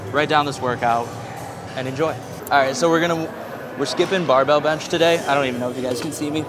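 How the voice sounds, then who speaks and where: A young man talking casually as a fitness vlogger, explaining a workout in a gym. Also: mid-range voice. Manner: upbeat, coaching cues, casual. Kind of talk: casual workout vlog narration and coaching. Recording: gym music playing behind. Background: music.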